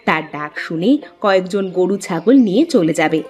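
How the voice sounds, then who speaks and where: A woman's voice: on the low side, expressive, normal speed, a little mellow, faint music behind. A woman giving scripted narration for a folk tale as the storyteller.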